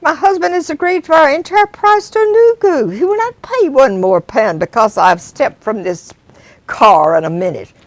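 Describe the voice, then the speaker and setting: A woman's voice: high voice, sing-song, moderate pace, no room sound, pitched above the performer's own voice. A woman voicing a polite but anxious young wife on her first trip abroad, pleading and indignant by turns.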